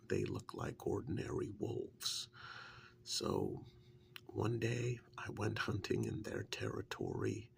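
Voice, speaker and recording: Man playing a slow, gravelly, whispering witch's assistant. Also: fairly low pitch, fairly bright tone, full character voice. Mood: rueful, musing. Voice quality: breathy, half-whispered, gravelly. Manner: halting, unhurried, confiding, understated. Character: witch's male assistant, rueful, easygoing fantasy storyteller. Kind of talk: soft-spoken ASMR role-play.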